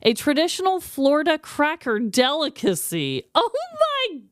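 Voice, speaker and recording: A woman's voice: high voice, sing-song, normal speed, no echo, clean studio sound. A woman co-hosting a podcast in casual comedic banter, playful and given to exaggerated exclamations. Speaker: podcast co-host.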